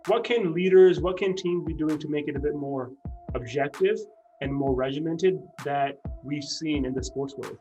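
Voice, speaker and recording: A man's voice: a little high, normal speed, warm tone, faint music behind. A man hosting a podcast interview, enthusiastic as he introduces his guest and asks questions. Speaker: podcast host.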